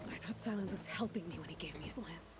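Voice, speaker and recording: A woman's voice: lowish voice, fast, very noisy, lightly acted. An actress playing a determined, self-reliant young woman adventurer in a video game, muttering terse asides to herself. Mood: pensive.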